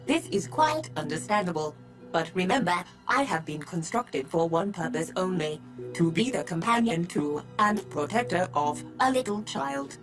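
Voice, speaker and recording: A devoted robot nanny played by a woman speaks in a slightly low female voice. The phrasing is formal, measured and unfailingly polite, as in a radio play, with music faintly underneath. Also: animated, lightly acted. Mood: courteous, calm. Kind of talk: radio drama acting.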